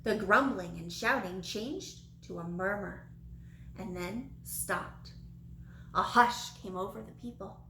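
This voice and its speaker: A woman's voice: medium pitch, takes it slowly, pushed and bright. A woman reading a children's story aloud, as a teacher would to young listeners. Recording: echoey room with light background noise.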